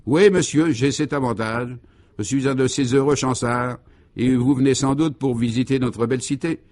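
A man's voice: medium pitch, normal speed, a little mellow, close to an everyday voice. A man playing a blissfully cheerful ragged townsman. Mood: beaming, welcoming. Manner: emphatic, delighted, polite.

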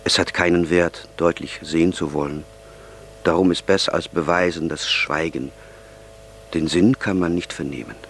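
An actor playing an earnest, solemn young man speaking deliberately in an audio drama. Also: low-pitched, steady tone, fairly bright tone, a little hiss or hum.

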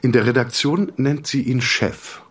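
An actor playing a sober, detached storyteller, narrating a literary radio drama in a measured manner. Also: male voice, mid-range voice, lightly acted.

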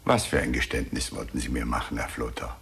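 A man in a German radio drama playing a matter-of-fact chief homicide detective with a gravelly voice. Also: extremely low pitch, fairly even intonation, fast talker, fairly bright tone, heavily acted. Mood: businesslike. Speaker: police chief inspector.

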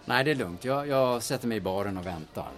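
A man's voice: medium pitch, expressive, a bit bright, faint music behind, close to an everyday voice. A man playing a shifty, scheming auction agent in an audio drama, speaking in a hesitant, colloquial way. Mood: hesitant, wary. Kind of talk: audio drama acting with muttered inner monologue.

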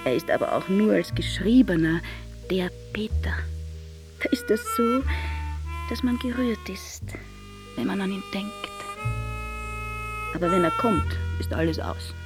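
Slow, coquettish actress as Viennese sweetheart. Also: female voice, medium pitch, over music, natural voice. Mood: wistful, confiding. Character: capricious, coquettish Viennese sweetheart, pleading yet teasing. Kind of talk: dramatic literary recitation over music.

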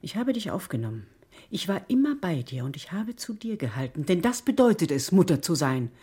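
A woman's voice: lowish voice, very animated, brisk, dark tone, husky, exaggerated voice. An actress playing a steadfast, reproachful older foster mother in a German radio drama, speaking firmly and insistently. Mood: insistent, hurt.

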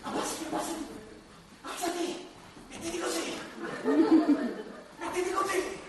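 An actress playing a childlike, blunt dead soul in radio drama dialogue. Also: female voice, extremely high pitch, fairly even intonation, moderate pace, very noisy. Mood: bossy. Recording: very echoey, distant, loud music behind.

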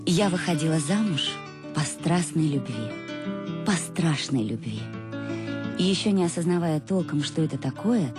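An actress playing a wistful married woman in a radio play, giving an intimate, reflective confession in a measured way. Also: fairly low pitch, lightly acted. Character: wistful married woman recalling her fading love. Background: music, guitar.

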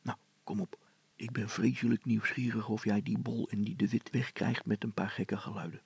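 Man voicing grumpy protective father, audio story, low-key murmur, breathy rasp, dry close sound. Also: level tone, normal speed, no room sound. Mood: gruff, hushed. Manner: hushed, grumbling, low-key. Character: grumpy but cautious father, older than his boy.

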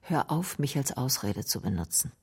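An actress in a radio drama playing a tired, sickly middle-aged mother who speaks bluntly to her grown son, with a husky, creaky voice. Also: extremely low pitch, very animated, moderate pace, a little mellow, exaggerated voice. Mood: weary reproach.

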